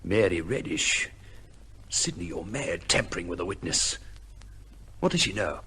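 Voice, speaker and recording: An actor playing a hard-nosed, skeptical prosecuting lawyer in an old-time radio drama, with a brusque, formal manner and a husky voice. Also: male voice, low-pitched, expressive, measured pace, bright, forceful tone, a little hiss or hum, full character voice. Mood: sharp, incredulous.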